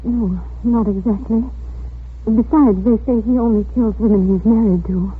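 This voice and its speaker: Earnest, worried landlady, 1940s radio acting, rough husky tone, tinny broadcast. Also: fairly high pitch, steady tone, moderate pace. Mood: earnest, anxious. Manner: urgent, earnest. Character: anxious, earnest landlady, Miss Barton. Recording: narrow, muffled 1940s radio recording.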